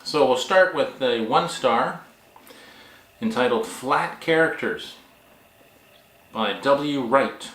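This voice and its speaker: A man talking casually as a vlog host and author, with a halting, wry, self-deprecating manner. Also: mid-range voice, expressive, a bit bright. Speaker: author and book vlogger hosting. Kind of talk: casual vlog talk, reading reviews aloud. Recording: echoey room.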